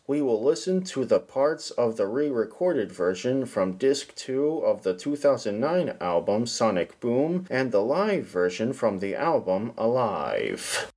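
Guy hosting a podcast, reads his script real slow and measured, mid-range voice. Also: medium pitch, darkish tone. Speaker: podcast host. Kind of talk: scripted narration.

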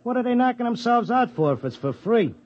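Brief: streetwise New tip-sheet man, gruff and slangy, 1950s radio play, muffled lo-fi audio. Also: very high voice, sing-song, fairly quick, exaggerated voice. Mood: determined. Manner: slangy, blunt, tough-guy talk. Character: streetwise Broadway racetrack tipster, devoted husband. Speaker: narrator and lead. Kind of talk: 1950s radio drama acting. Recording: dull 1950s radio broadcast.

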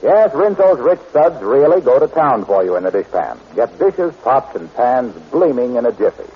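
A man working as a 1940s radio announcer, reading a commercial as a folksy sales pitch in a husky voice. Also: very animated, moderate pace. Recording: dull old radio recording.

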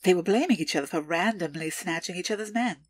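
A woman playing a calculating, deferential underworld information broker in an audio drama, with formal, measured, precise delivery. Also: fairly low pitch, close to an everyday voice. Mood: businesslike.

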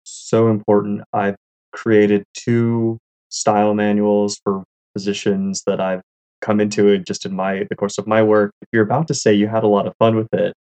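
A woman's voice: a bass voice, level tone, normal speed, warm tone, low-quality recording. A woman hosting a casual podcast interview, asking questions in a playful, joking manner. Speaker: podcast host.

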